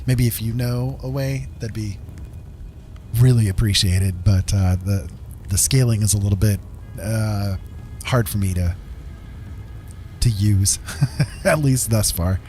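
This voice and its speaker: A man's voice: on the low side, slow, long pauses, a little mellow, soft background music. A middle-aged man livestreaming a game, chatting casually in a friendly, musing, polite way. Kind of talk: casual chat while gaming.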